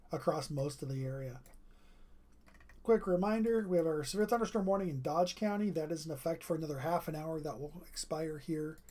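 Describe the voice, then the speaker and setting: A weather livestreamer giving steady running commentary over radar maps, with a strained voice and frequent throat clearing. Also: medium pitch.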